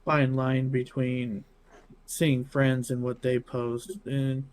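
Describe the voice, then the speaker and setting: A man speaks as a podcast co-host in relaxed conversation. His pace is slow and unhurried, and his pitch sits in the middle. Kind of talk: casual chat.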